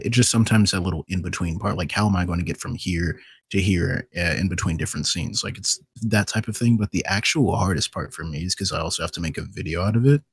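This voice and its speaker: Deep gravelly guy on a podcast, kinda husky, just talking informally, dry sound. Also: no room sound. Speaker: podcast guest, voice actor and video maker. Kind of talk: casual panel talk.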